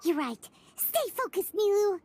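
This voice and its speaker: Squeaky, extremely high-pitched tiny fairy. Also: female voice, unhurried, slight background noise, exaggerated voice. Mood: excited. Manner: excitable cheerleading. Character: tiny squeaky excitable childlike female fairy companion. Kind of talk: English game voice acting.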